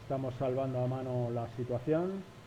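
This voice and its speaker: A man's voice: mid-range voice, normal speed, mellow, muffled recording, a little hiss or hum. A man from Spain with a Spain Spanish accent gives casual, informal running commentary as a pilot flying, muttering to viewers as he works. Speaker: flight simulator pilot narrating.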